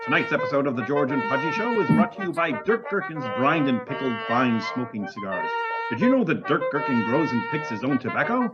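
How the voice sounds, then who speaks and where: A man's voice: mid-range voice, sing-song, fairly quick, muffled recording. A man reading sponsor spots as an old-time radio announcer, with mock-earnest salesmanship. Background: loud music.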